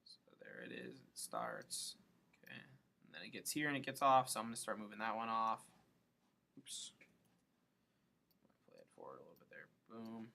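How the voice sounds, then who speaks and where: A man giving a casual software tutorial, thinking aloud and loosely muttering to himself between steps as he works. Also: lowish voice, very animated, normal speed, vocal fry, long pauses. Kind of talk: casual screen-recorded tutorial, thinking aloud while working.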